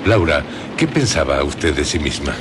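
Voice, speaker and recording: A very deep-voiced, grave questioner. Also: male voice, big pitch swings, normal speed, noisy background. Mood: grave, inquisitive. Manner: formal, probing. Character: grave, formal man questioning Laura about her past. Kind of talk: radio drama acting.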